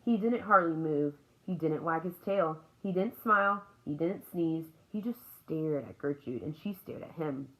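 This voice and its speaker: A woman reading a children's book aloud as an expressive storyteller. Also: deep voice, unhurried, hardly any pauses, rough recording.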